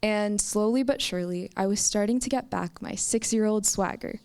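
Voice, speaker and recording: A young woman from the United States telling a personal story at a live campus story slam, with slow, emphatic phrasing. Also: medium pitch, darkish tone, clear, resonant tone. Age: young adult. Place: stage at a campus story event.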